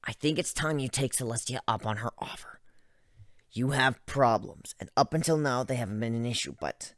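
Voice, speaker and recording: A reader voicing a blunt but concerned female griffin in a dramatic story reading, earnest and deliberate. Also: medium pitch, expressive, no echo, studio quality, pitched below the performer's own voice, lightly acted. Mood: sad, firm. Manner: earnest, firm, pleading, deliberate. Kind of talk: dramatic reading of fan fiction.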